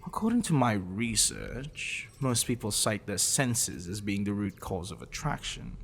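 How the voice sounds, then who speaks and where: Man acting a low-voiced, gravelly, obsessive professor. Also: very animated, fairly bright tone, a little hiss or hum, full character voice. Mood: pedantic, musing. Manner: pedantic, wordy, teasing, with musing pauses. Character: possessive, sadistic male professor, clinical yet smitten. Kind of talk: intimate ASMR roleplay monologue.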